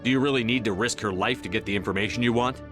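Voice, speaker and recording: An actor playing a resolute human military commander in a video game, direct and businesslike. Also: male voice, fairly low pitch, very fast, music in the background, lightly acted. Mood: stern.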